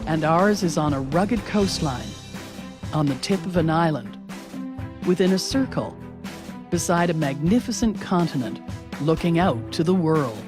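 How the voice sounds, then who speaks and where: A woman narrates a promotional film in a fairly low voice. The delivery is polished and scripted, and music plays clearly underneath her words. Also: expressive, unhurried.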